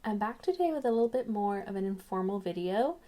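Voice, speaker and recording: A woman's voice: mid-range voice, expressive, brisk, mellow. Young woman, chatty webcam vlogger who rambles off topic. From England, raised in Ireland. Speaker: YouTuber. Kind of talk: casual chatty vlog.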